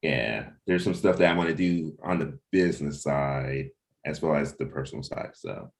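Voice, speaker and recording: A man's voice: very deep voice, animated, normal speed, creaky. A man hosting a casual livestreamed team chat, speaking informally and a little hesitantly as he thinks aloud. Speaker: host and comic creator leading a cast meeting.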